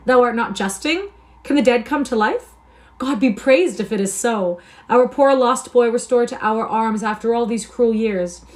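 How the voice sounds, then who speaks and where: A guarded young nobleman, read by a woman, talking in a soft, mild tone and old-fashioned phrasing. Her voice sits at a middling pitch, as in a storybook reading. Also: normal speed, close to an everyday voice. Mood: astonished.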